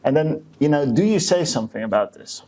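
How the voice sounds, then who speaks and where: Man chatting casually, halting delivery. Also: a little high, lively intonation, a little mellow. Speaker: podcast co-host. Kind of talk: casual chat.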